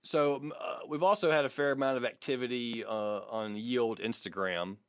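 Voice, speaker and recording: A man's voice: mid-range voice, moderate pace, clean tone, no echo, studio quality. A man co-hosting a podcast in casual, joking, sweary chat, reading out listener comments. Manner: profane, joking. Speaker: podcast co-host.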